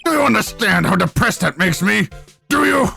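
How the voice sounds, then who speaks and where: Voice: medium pitch, lively intonation, moderate pace, creaky, bright, forceful tone, soft background music, voice pushed up, heavily acted. An amateur dubber voicing an angry, pompous male surgeon who rants indignantly in a video game. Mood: irritated, sulky. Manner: indignant ranting with exclamations. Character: angry, pompous, self-important male surgeon, easily outraged.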